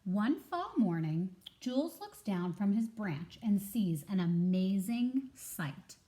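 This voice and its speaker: Woman, teacher, mid-pitched voice, unhurried pace, expressive children's read-aloud, clear enunciation, questioning asides. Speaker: teacher and storybook reader.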